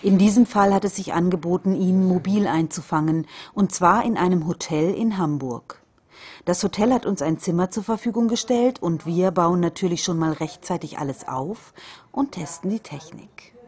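A woman's voice: lowish voice, moderate pace, darkish tone, husky. A woman reading scripted narration for a behind-the-scenes documentary. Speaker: narrator of a making-of.

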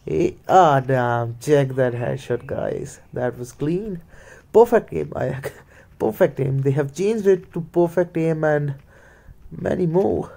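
Indian-accented man with a mellow, higher voice. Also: lively intonation, slow, gravelly. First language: Hindi and Punjabi. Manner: mutters play-by-play, slips into Hindi. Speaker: gaming video creator. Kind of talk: casual gameplay commentary.

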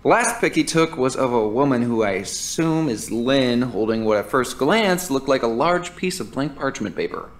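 A man's voice: medium pitch, lively intonation, moderate pace, hardly any pauses, close to an everyday voice. Actor as a flustered, nerdy young man. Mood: musing, eager. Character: flustered, nerdy young male editor living with mother. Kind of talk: audio drama, spoken recorded monologue.

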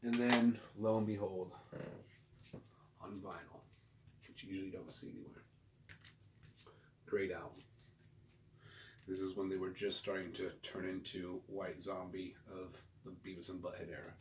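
Laid back guy in his 40s rambling casually, lowish voice, kinda far from the mic with some background noise. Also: flat delivery, normal speed, long pauses. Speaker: host of a record collecting video channel. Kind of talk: casual show-and-tell vlog chat. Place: small home room, indoors. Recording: roomy, distant mic with clear background noise.

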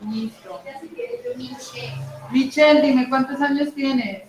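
A young adult woman teacher with a Colombian accent, taking roll in an online class on a laptop mic, brisk and repetitive. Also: sits high, a little mellow, soft background music. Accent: Colombian Spanish. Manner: brisk, repeats names and ages, says "listo". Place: indoors at home on a video call. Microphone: laptop mic on video call. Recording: very echoey room.

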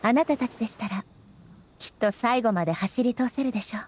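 A woman voicing a dignified, gentle female ruler in a Japanese anime game, speaking politely and formally with measured composure. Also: a little high, slight background noise, natural voice. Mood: calm, encouraging.

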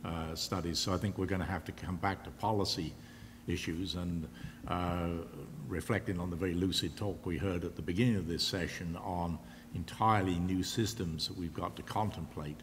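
An older man speaks from a panel table in a large auditorium, choosing formal, elaborate words at a measured pace. His voice sits very low. The sound carries a long hall reverb with faint background noise. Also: steady tone. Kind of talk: panel discussion answer.

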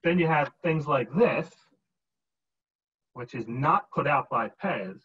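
A man in his forties giving a casual show-and-tell seminar from home on a video call, relaxed and explaining as he holds items up. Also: mid-range voice, slow, breathy edge. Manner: relaxed, explains while holding up items, frequent ums. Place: home dining room with a glass cabinet. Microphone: webcam on a video call. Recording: muffled video-call audio.

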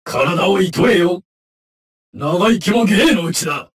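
Haughty, animated teddy-bear fighter. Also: male voice, high voice, measured pace, recorded from a distance. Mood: stern, scornful. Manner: haughty, archaic, declamatory. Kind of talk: anime fighting game battle lines.

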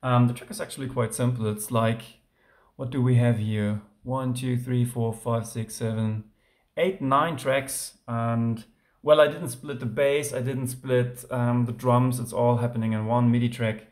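A man's voice: medium pitch, steady tone, measured pace, a little mellow. A man with a German accent, speaking English as a second language, casually walking through his track in a home music studio with many ums and restarts. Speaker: music producer.

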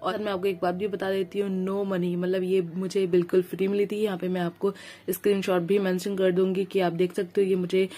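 A woman with an Indian accent talks through a skincare review in a chatty, casual way. Her medium-pitched voice carries a cold, and she drops English phrases into her explanations. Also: flat delivery, a little fast, dark tone. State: has a cold. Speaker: beauty vlogger reviewing skincare. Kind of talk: casual product review to camera.

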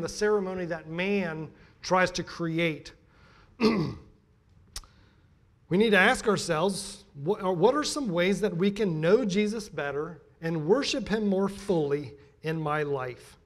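A middle-aged American man giving a sermon as a pastor, emphatic and deliberate in his delivery. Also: sits high. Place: church pulpit, indoors.

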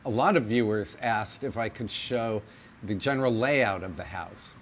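A man's voice: lowish voice, moderate pace, a little hiss or hum. A middle-aged man chatting casually to the camera as he gives a tour of his large old house. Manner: chatty, friendly tour-guide delivery. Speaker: home vlogger giving a house tour. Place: large old house with high-ceilinged rooms, indoors.

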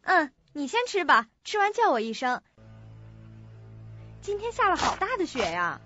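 Actress as a timid, very high-pitched young woman. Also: wildly swooping pitch, moderate pace, bright, forceful tone, no room sound, soft background music, heavily acted. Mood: gentle. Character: frightened, timid young woman visiting her father's grave. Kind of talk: audio drama acting. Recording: dull lo-fi audio drama recording.

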